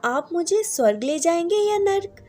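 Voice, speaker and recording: High, questioning old woman, as a female storyteller plays her. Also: lively intonation, normal speed, mellow. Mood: puzzled, curious. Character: kindly, curious elderly woman, newly dead.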